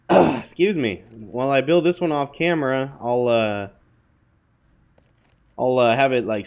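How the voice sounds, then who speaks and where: A man with a hoarse voice from a cold gives rambling, offhand commentary while playing, talking to viewers with plenty of fillers. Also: medium pitch. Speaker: gaming channel host. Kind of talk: casual gameplay commentary.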